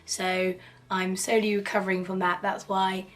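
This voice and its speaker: A woman hoarse from a cold, hosting and narrating creepy stories in a cheerful, chatty, playfully spooky way. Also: mid-range voice, flat delivery, normal speed, a bit bright. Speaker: horror storytelling channel host. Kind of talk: casual spooky story narration. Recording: slightly distant mic, faint background noise.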